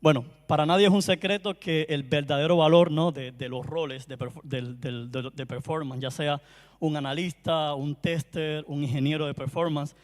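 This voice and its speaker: A man's voice: fairly high pitch, steady tone, normal speed. Cuban-accented man in a reverberant hall. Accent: Cuban Spanish. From Cuba. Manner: warm, chatty, frequent hesitations and restarts. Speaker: conference speaker. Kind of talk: conference talk. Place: conference hall.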